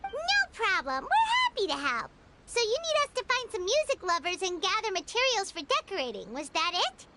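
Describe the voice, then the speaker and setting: A woman voicing a tiny, excitable fairy companion girl in a video game, childlike, bossy and cheeky. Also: very high voice, moderate pace, very noisy, full character voice. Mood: helpful, eager. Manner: childlike, exclamatory, speaks of self in third person.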